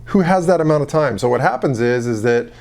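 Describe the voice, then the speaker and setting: A man's voice: medium pitch, animated, brisk, darkish tone. A man presenting an informal explainer as a consultant, talking straight to the viewer in a conversational, salesy way. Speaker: call center software consultant and video presenter. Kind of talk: informal explainer talk to camera.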